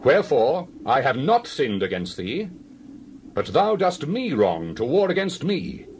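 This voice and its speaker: An actor playing a stern, righteous Hebrew leader, declaiming deliberately in a dramatized Bible reading. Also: male voice, medium pitch, wildly swooping pitch, a little hiss or hum. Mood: indignant, challenging.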